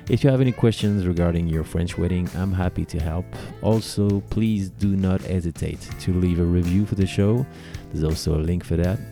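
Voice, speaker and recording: Deep-voiced polite podcast host. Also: male voice, dark tone. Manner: polite and courteous, with short affirmations and hesitant uhs. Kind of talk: interview and show sign-off.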